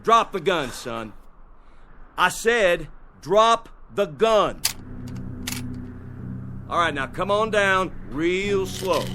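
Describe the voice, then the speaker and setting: An actor in a radio drama playing a steady small-town sheriff, unhurried and authoritative. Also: male voice, sits high, a little hiss or hum, full character voice. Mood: commanding.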